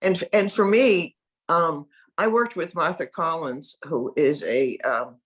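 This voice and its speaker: A woman's voice: low voice, measured pace, husky. An older woman poet chatting about her influences, rambling with many fillers. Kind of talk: panel discussion after a reading.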